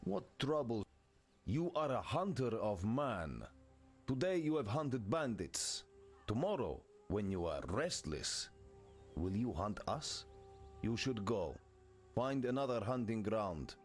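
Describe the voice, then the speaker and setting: Slow, low, wary villager. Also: male voice, sing-song, soft background music, heavily acted. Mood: wary, accusing. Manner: terse, short deliberate sentences. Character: wary, stern male villager distrustful of hunters. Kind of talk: video game character acting.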